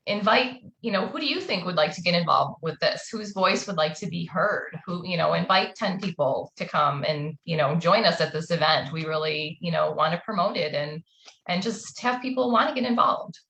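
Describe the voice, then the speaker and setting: A woman's voice: fairly low pitch, lively intonation, normal speed, gravelly, bright, forceful tone, echoey room. A woman on a committee panel talking in an upbeat, chatty way with frequent fillers. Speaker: committee member. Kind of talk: panel discussion.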